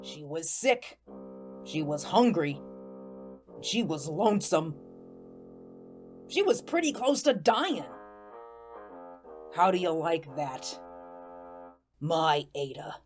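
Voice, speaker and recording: Slow, folksy ghost storyteller, acted by a woman. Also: low-pitched, big pitch swings, soft background music, exaggerated voice. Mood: wistful, aggrieved. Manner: folksy, colloquial, deliberate storytelling. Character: Gus, plainspoken vengeful ghost narrating his tale. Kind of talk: first-person audio drama narration.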